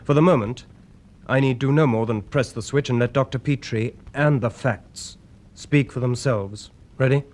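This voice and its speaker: An actor playing an earnest male journalist, narrating a radio drama in a measured, portentous way. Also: medium pitch, darkish tone, close to an everyday voice. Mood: solemn, intriguing. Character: earnest male journalist presenting archive tapes.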